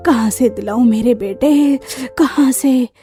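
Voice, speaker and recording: A woman's voice: on the high side, measured pace, mellow, faint music behind, pitched below the performer's own voice, close to an everyday voice. A woman voicing a loving, worn-down grandmother from a poor village in a cartoon story. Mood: tender, sorrowful. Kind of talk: Hindi cartoon story character voice.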